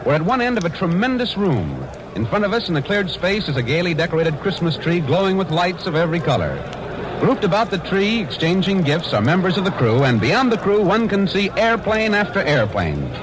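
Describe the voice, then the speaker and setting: A man's voice: high voice, sing-song, a little fast. A man reporting live in 1940s radio style and interviewing people from a large, noisy space. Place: large aircraft carrier hangar deck. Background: noisy gathering of crew.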